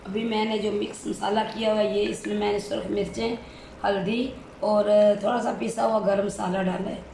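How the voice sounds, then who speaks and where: A woman's voice: mid-range voice, flat delivery, normal speed, creaky. Woman with a sore throat, cooking vlog. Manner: plain, step-by-step home cooking talk with pious phrases. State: sore throat, voice not clear. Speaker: cooking channel host. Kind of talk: casual cooking vlog narration. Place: home kitchen. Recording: echoey kitchen with loud background noise.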